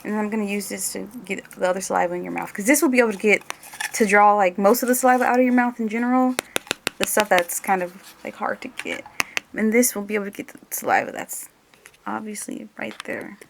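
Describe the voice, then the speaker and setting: A woman's voice: medium pitch, fast, creaky, noisy background. A woman doing a one-on-one ASMR role-play as an examiner, hesitant and muttering to herself, drifting between normal voice and whispery murmur.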